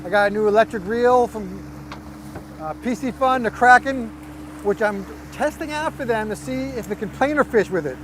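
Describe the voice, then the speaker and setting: A man's voice: extremely high pitch, moderate pace, fairly bright tone, a little hiss or hum. A man co-hosting a fishing vlog outdoors on a boat, explaining his gear in a laid-back, step-by-step way. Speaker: fishing partner, co-host. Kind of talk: casual explaining while rigging gear.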